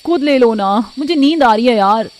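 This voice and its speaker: A woman's voice: on the high side, expressive, moderate pace, slight background noise, close to an everyday voice. A woman voicing a lazy, moody, quarrelsome young wife in a cartoon story. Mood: sleepy, irritated. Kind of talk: acted cartoon story dialogue.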